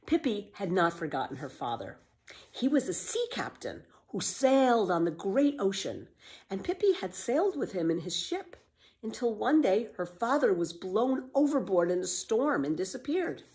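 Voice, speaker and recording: A woman reading a children's book aloud as a storyteller, with expressive, emphatic scripted narration. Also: medium pitch, normal speed.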